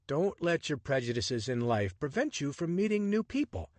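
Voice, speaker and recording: A man's voice: fairly high pitch, big pitch swings, normal speed, a little mellow, no echo, pitched above the performer's own voice. A man doing a breezy, bold adventurer. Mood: charming. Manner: breezy, persuasive, expansive. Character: bold, chatty young male adventurer.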